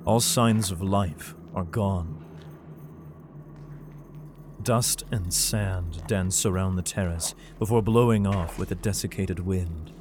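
A man's voice: sits low, takes it slowly, noisy background. An actor playing an anxious, haunted father in a sci-fi audio drama, with an intense, theatrical delivery. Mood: haunted, ominous. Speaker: lead actor and narrator.

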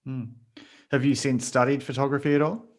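A man's voice: medium pitch, flat delivery, brisk. A man hosting a podcast, asking casual interview questions in an informal, slightly hesitant way.

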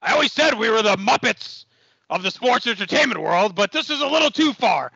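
A man playing an exasperated, bombastic wrestling company boss in a comic audio play, with a raspy, strained voice. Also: high voice, animated, fairly quick, bright, forceful tone, dull audio, slight background noise, full character voice. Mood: exasperated disbelief.

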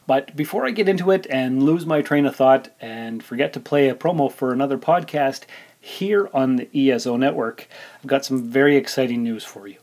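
Man, forties, podcast host, casual monologue, informal, rambling, mid-range pitch. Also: darkish tone. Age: 40s.

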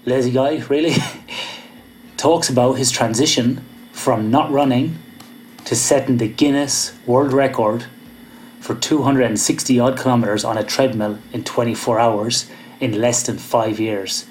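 A man's voice: mid-range voice, fairly even intonation, unhurried, a little mellow, slight background noise, low-quality recording. A man with an Irish accent hosting a podcast, upbeat and encouraging as he introduces and questions his guest. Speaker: podcast host. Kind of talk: podcast interview. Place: home in Australia.

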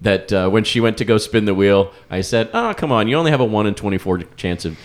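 A man's voice: fairly low pitch, animated, quick pace. A man speaking casually as a podcast host in a group chat.

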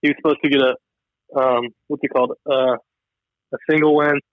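A young man drifts through long, loose stories, swearing offhandedly as he goes. He sits at a middle pitch. His voice comes over a remote call line that sounds dull and lo-fi, with no room sound. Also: level tone, brisk, no room sound. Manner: rambling, meandering, swears casually. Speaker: podcast co-host. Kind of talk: casual chat.

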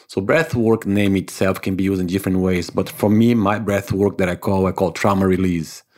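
Brief: low-pitched Brazilian man, English, earnest, explanatory interview guest. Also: a little mellow. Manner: non-native phrasing, earnest and explanatory. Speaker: podcast guest, breathwork instructor. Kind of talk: conversational interview answers.

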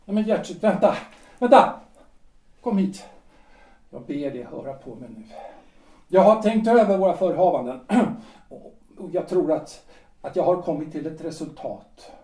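A husband in his forties, a lawyer, pleads with his wife and stumbles over his words, played by an actor. His voice sits fairly high but sounds mellow. It is heard from a little distance in an echoing room in this audio drama. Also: expressive, natural voice. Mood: pleading. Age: 40s. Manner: pleading, hesitant, restarting phrases. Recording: roomy, off-mic.

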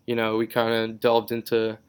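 A man's voice: fairly low pitch, completely flat delivery, moderate pace, clear, resonant tone. A young man from Israel whose first language is Hebrew, a podcast guest chatting casually and rambling with lots of fillers and restarts. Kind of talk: casual interview chat.

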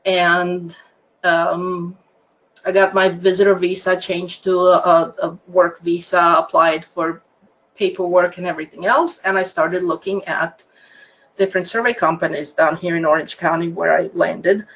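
A Croatian-accented woman in her fifties tells her story as a guest. She is chatty and warm-hearted in her telling, laughing often and doubling back on her words. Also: on the low side, little pitch movement, a little slow, rough recording. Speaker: guest, land surveyor. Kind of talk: casual interview storytelling.

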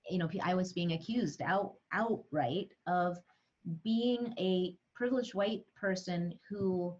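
A woman's voice: lowish voice, slow, darkish tone, muffled recording. A middle-aged woman, a podcast guest, telling her story in an earnest, frank way. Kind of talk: candid interview talk.

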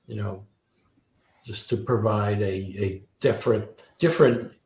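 A man with a fairly low voice talks as a guest author in an interview. He is discursive and halting, circling back to rephrase, and the sound has a strong room echo. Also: fairly even intonation, a little mellow. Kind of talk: thoughtful interview conversation. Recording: roomy, echoing sound.

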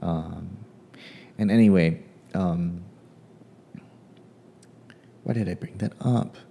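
Man, casual musing, deep mellow voice, soft guitar behind; lots of ums, unhurried. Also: vocal fry, slightly rough. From Hawaii. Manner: hesitant, many ums and pauses. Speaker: playwright. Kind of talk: casual personal reflection.

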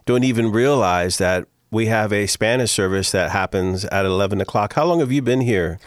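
A man hosting a casual church podcast. He talks in a chatty, upbeat way and laughs through his stories. His voice sits a bit low, and the sound is close and dry. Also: normal speed, no echo. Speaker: podcast host, church worship leader.

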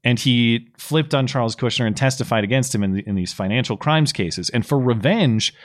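A slightly hoarse man co-hosting a podcast, recapping political news in a casual, explanatory way with plenty of fillers. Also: medium pitch, fairly quick. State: slightly hoarse, losing his voice. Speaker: podcast co-host.

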